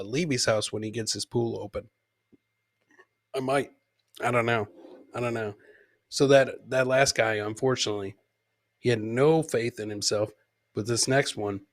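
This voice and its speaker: Guy riffing on funny clips for his podcast, bright punchy voice, dry close sound, says stuff twice. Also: medium pitch, no echo. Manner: repeats his phrases for comic effect. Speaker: comedy podcast host. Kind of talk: casual live commentary on funny video clips.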